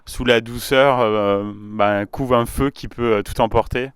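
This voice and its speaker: A male radio host asking calm, measured questions and murmuring along as he listens. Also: mid-range voice, smooth, no echo. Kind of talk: radio interview.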